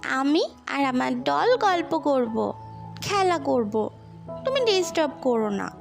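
Woman acting as stubborn small girl, very high voice, insistent childish delivery, storybook horror, faint music behind. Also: sing-song, slow pace, creaky, heavily acted. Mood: bossy, dismissive. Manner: insistent, childish, repeating herself. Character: willful little girl attached to her doll.

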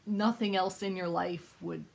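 A woman's voice: mid-range voice, fairly even intonation, measured pace, vocal fry, slightly rough. A young adult woman in casual conversation about books, earnestly explaining and reminiscing. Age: young adult, 20s to 30s. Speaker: host, book vlogger. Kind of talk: casual conversational book chat. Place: small book-lined room indoors.

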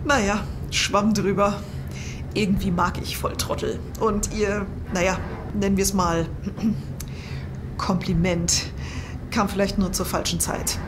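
An actress playing a resolute, candid scientist at a polar station in an audio drama. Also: female voice, mid-range voice, level tone, measured pace, pauses often, noisy background, close to an everyday voice. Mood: composed, wry.

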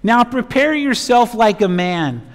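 A middle-aged man preaches a verse-by-verse sermon. His voice sits very high for a man, and he delivers scripture and rhetorical points emphatically, in short phrases. The church hall adds a long reverb. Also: expressive, unhurried. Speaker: pastor. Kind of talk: expository sermon with Bible reading. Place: church sanctuary, at a pulpit.

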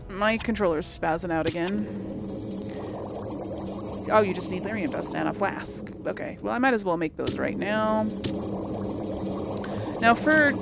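Woman, casual gameplay commentary, slow, gravelly, musing, faint game music behind. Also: medium pitch. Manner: slow, musing, talks to herself. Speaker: gameplay host. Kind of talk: casual let's play commentary.

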